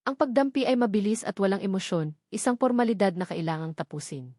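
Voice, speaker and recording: A woman with a Filipino accent reading a scripted romantic drama as a narrator, in a measured, solemn storytelling manner. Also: medium pitch, expressive, a little mellow, no echo, studio quality.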